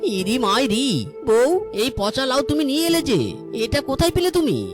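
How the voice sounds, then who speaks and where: A woman's voice: high-pitched, moderate pace, close to an everyday voice. A woman voicing a hot-headed, boastful male fox husband in a cartoon, exclaiming melodramatically. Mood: exasperated. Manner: exclaiming, melodramatic, catchphrase-driven. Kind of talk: exaggerated cartoon acting. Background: music.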